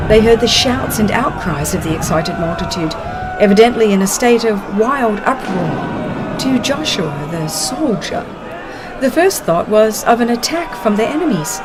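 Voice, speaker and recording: A woman reads a dramatized religious history as a narrator, in a solemn, deliberate storytelling manner. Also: mid-range voice, very noisy. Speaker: audiobook narrator. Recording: music behind the narration. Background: music.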